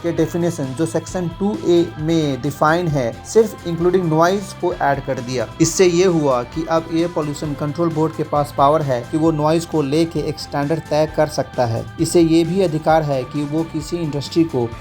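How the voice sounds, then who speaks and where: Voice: mid-range voice, normal speed, few pauses, darkish tone. Indian legal explainer over music. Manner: formal, instructive, mixes in English legal terms. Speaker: legal explainer, channel host. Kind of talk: scripted explanatory narration.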